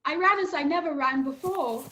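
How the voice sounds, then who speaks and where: A woman's voice: sits high, moderate pace, pushed and bright, slight background noise, poor audio. A woman playing a young cabin boy who narrates his adventure earnestly and dramatically in a radio play. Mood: tense, grave.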